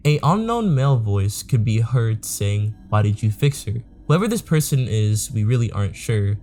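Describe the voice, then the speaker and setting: A man giving a measured, matter-of-fact scripted explainer narration as host of a video series. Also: on the low side, big pitch swings, heavy background noise.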